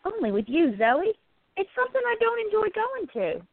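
A woman voicing a quiet, curious gallery worker in a radio play, chatty and gently prodding her friend. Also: extremely high pitch, wildly swooping pitch, moderate pace, dry recording, voice pushed up, exaggerated voice. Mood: shy, friendly. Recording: tinny, phone-like narrow sound.

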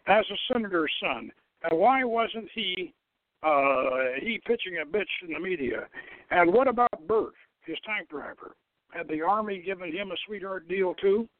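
A man giving a halting, wry dramatic reading of a story, stumbling over the text now and then. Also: high voice, expressive, dry recording. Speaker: host reading own novel aloud. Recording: thin, dry, phone-like radio line.

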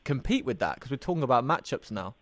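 A man's voice: mid-range voice, big pitch swings, quick pace, a bit bright, no echo. A man hosting a sports podcast, asking long, opinionated questions in a conversational way.

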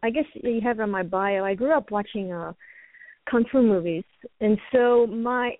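An American woman, a native Chinese speaker, giving a conversational interview as a call-in guest, rambling haltingly and often repeating words. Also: medium pitch, dry recording. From New York, United States. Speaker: guest, actress and martial artist. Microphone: telephone call. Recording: dry, narrow phone line.

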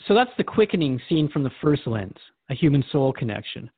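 A man acts a male radio interviewer in a drama, thoughtful and mildly skeptical. He speaks at a middling pitch in a reflective, questioning manner. The recording is dry, with a narrow telephone-like sound. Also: sing-song, fairly quick, breathy edge, dry recording. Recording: narrow phone-like band, dry.

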